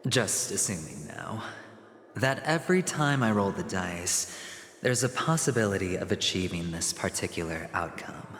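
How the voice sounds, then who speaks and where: An actor playing a sly young gambler in a game, smooth, playful and theatrical. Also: male voice, low voice, lively intonation, moderate pace, fairly bright tone, big-room sound, a little hiss or hum. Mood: wry.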